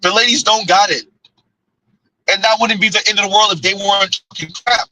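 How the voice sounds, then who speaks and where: Male stream host, high and sharp-toned, casual chat-reading commentary, laughs a lot. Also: fairly even intonation, a little fast, a little hiss or hum, poor audio. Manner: laughs often, talks back to chat. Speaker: livestream host.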